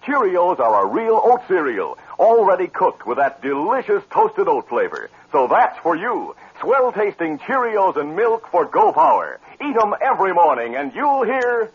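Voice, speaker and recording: A man's voice: sits high, sing-song, normal speed, few pauses, husky. A man delivering scripted, emphatic narration as an old-time radio announcer. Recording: noisy, dull old radio recording.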